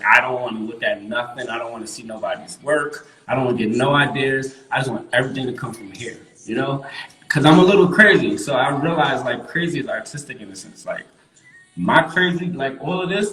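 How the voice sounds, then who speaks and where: A man's voice: mid-range voice, fairly bright tone, reverberant, poor audio. A man from Queens, a guest artist talking casually and emphatically, repeating himself and using street slang. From Queens, New York. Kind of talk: casual interview chat.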